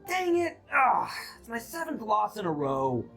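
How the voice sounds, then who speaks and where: An actor playing a boisterous, good-hearted young gang leader in a video game, brash and slangy. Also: male voice, high-pitched, wildly swooping pitch, a little slow, fairly bright tone, full character voice. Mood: dismayed, defiant. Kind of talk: animated video game acting. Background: background music.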